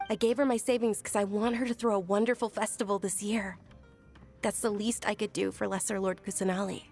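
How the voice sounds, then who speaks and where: A woman playing a sincere, devout young noblewoman in a video game, speaking articulately and softly. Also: mid-range voice, gravelly, natural voice. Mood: earnest, hopeful. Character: earnest, devout young noblewoman recounting her childhood. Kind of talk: game dialogue and reflective narration.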